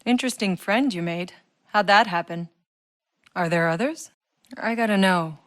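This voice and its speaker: A woman's voice: mid-range voice, moderate pace, fairly bright tone, dry recording, clean studio sound, lightly acted. An actress playing a tough, sardonic female soldier in a sci-fi shooter game, with a wry, blunt delivery. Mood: matter-of-fact, frank. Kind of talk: video game voice lines, naturalistic acting.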